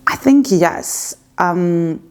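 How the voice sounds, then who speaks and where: A woman's voice: fairly low pitch, lively intonation, very slow, clean tone. A woman in her thirties with a French accent, answering viewer questions in a candid, unscripted chat to camera at home, with some hesitations. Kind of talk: unscripted casual Q&A. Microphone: facing a camera.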